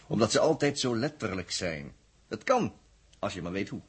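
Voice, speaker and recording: An actor playing a cocky, crafty space agent, breezy and bossy, in a 1960s science-fiction radio drama. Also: male voice, fairly low pitch, very animated, moderate pace, husky, full character voice. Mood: smug. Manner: breezy, scornful, coaxing.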